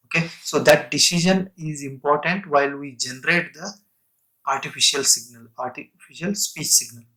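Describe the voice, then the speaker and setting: A man lecturing on technical material in a halting, explanatory way, breaking sentences into short phrases and restating terms. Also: medium pitch, fairly bright tone, rough recording.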